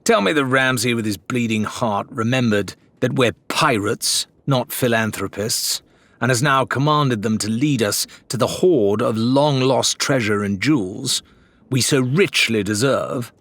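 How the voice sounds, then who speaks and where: A man's voice: medium pitch, a little slow, fairly bright tone, no room sound, pitched above the performer's own voice. A man voicing a sceptical, scornful, greedy pirate in an audiobook, with sarcastic delivery. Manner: sarcastic, sneering.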